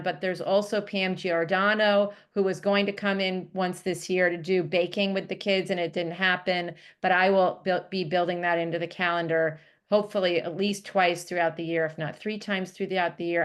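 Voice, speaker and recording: Chatty woman on a video call. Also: on the low side. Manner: chatty, upbeat, frequent fillers and restarts. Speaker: religious school director. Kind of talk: casual presentation on a video call.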